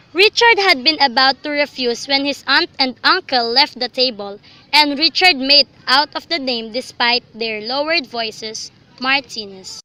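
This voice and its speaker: A woman's voice: high voice, pushed and bright, rough recording. A young woman reading scripted literary narration for a student radio drama. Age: young adult.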